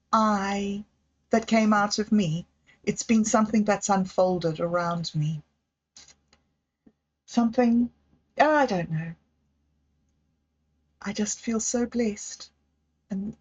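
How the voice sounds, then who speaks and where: A woman talks alone on a livestream in a gushing, meandering way, restarting her sentences and trailing off. Her voice sits at an ordinary middle pitch. The sound is a little dull and lo-fi. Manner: effusive, rambling, self-interrupting. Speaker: livestream host. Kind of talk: rambling solo livestream chat.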